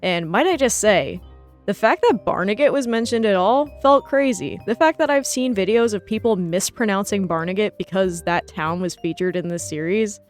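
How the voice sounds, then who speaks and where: A young woman with an American accent talks in a casual, chatty way about art. She sounds enthusiastic, with a medium-pitched voice. Soft music plays behind her. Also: normal speed. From New Jersey, United States. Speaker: artist and video host. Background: background music.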